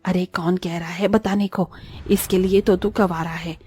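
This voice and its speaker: A woman's voice: on the low side, steady tone, unhurried, mellow, slightly rough, soft background music. A woman voicing a greedy, scolding mother-in-law in a cartoon story, with a taunting, melodramatic manner. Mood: scheming, greedy. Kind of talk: Hindi cartoon story voice acting.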